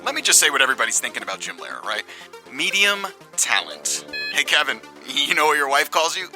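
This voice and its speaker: An actor playing an obnoxious, crude TV star leaving a smug, mocking voicemail in a comedic audio drama. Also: male voice, medium pitch, sing-song, a little fast, music in the background, lightly acted. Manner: smug, jeering.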